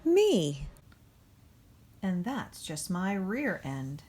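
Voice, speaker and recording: Woman reading as an eager, slow-paced cartoon backhoe. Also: on the low side, a little hiss or hum, exaggerated voice. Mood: proud. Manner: expressive, playful, emphatic storybook reading. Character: eager, boastful cartoon backhoe loader who loves dirty work. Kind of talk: picture-book read-aloud.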